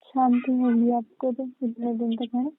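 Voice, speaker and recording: A woman's voice: on the high side, level tone, normal speed, dry recording, natural voice. A woman playing a pouty, teasing young wife in casual, intimate banter, with curt mock indignation. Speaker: wife in a couple's call. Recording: tinny narrow phone-call sound.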